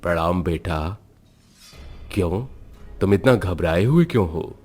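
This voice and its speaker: A man playing a calm, commanding tantric holy man in a horror story, with an authoritative, measured manner. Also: deep voice, faint music behind. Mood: reassuring. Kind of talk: dramatized horror story acting.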